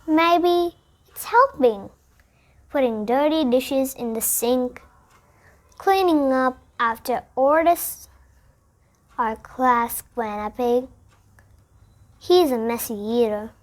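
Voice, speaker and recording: A child reads a children's picture book aloud, deliberately, phrase by phrase. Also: sits high. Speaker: storybook reader.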